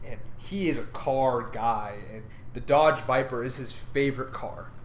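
A man's voice: medium pitch, unhurried, slight background noise. A young man co-hosting a casual podcast, talking in an earnest, rambling, upbeat way. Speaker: podcast co-host. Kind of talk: casual chat.

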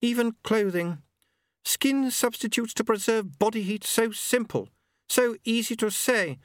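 A man's voice: high voice, expressive, measured pace, dry recording, clean studio sound, voice pushed up. A man voicing a prim, literal-minded aristocratic lady who talks in formal, precise phrases. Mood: earnest.

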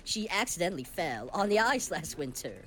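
A man's voice: high voice, big pitch swings, fairly bright tone. A man as a rambling, absent-minded boss, swooping voice. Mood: cheerfully tactless. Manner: halting, forgetful, cheerfully oblivious. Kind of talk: comic audio play acting.

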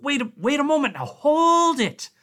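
Male reader as a jittery little scientist, high and lively, pleading his case. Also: normal speed, heavily acted. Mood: frantic. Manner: excited, insistent, lecturing. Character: small, bony, eager male scientist.

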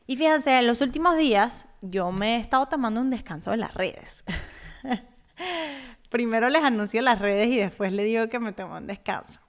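A woman's voice: fairly high pitch, animated, moderate pace. A young woman from Cuba hosting her own finance podcast, telling her story in an upbeat, personal way. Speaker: podcast host.

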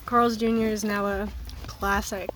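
A young woman co-hosting a casual car vlog, loud and rambling, swearing often, recorded on a phone set down in the car. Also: medium pitch, little pitch movement, unhurried, background noise. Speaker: vlog co-host.

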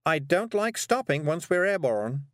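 A timid, genteel lady witch from a storybook, acted out by a male narrator, pitched well up. The delivery dithers and apologizes, with lively swings in pitch and a close, dry sound. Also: measured pace, no echo. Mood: coaxing, fussy. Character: fussy, absent-minded, timid middle-aged woman witch.

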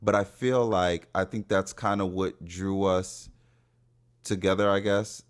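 Low-voiced young man, casual co-host. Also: fairly even intonation, measured pace, smooth. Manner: supportive listener, many affirmations. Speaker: podcast co-host. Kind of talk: casual chat.

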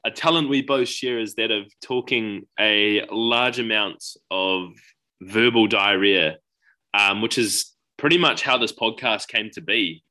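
A man's voice: fairly low pitch, bright, forceful tone. A young man from New Zealand with a New Zealand accent, co-hosting a casual podcast chat in an easygoing way with kiwi slang. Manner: easygoing, quick laughs, Māori greetings and kiwi slang. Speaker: podcast co-host.

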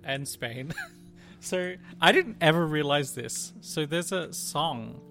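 A young man with a fairly high voice that swings up and down a lot chats casually with a friend. He laughs often and takes a joking, disbelieving tone. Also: lively intonation, slow pace, bright, forceful tone, faint music behind. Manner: laughs often, jokey and incredulous. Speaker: podcast co-host. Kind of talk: casual banter over a game.

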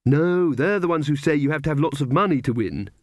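A man's voice: on the high side, expressive, a little fast, no room sound, studio quality, close to an everyday voice. A man doing an earnest young witch. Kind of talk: audiobook character voice.

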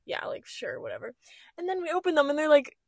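A woman's voice: high voice, huge pitch swings, fast, creaky, a little mellow, dry recording, clean studio sound. A young woman co-hosting a podcast, chatting casually with many fillers and giggles. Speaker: podcast co-host. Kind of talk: casual chat. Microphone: small podcast mic.

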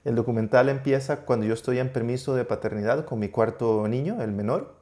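A middle-aged man from Costa Rica, a guest talking about his documentary in a casual interview, often pausing and restarting his sentences. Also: medium pitch, a little mellow. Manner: hesitant, many restarts and fillers. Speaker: guest, documentary filmmaker and media professor.

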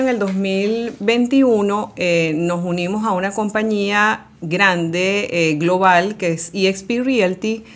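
A woman with a Venezuelan accent co-hosting a podcast, talking casually with many fillers and pauses. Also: mid-range voice. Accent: Venezuelan Spanish. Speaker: podcast co-host, realtor.

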